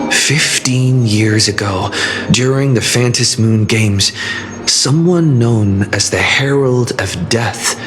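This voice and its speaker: A man playing an adventurer who recounts his journey as a game's story narrator, deliberate and measured. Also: fairly low pitch. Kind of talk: scripted story recap narration. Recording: background music under studio voice.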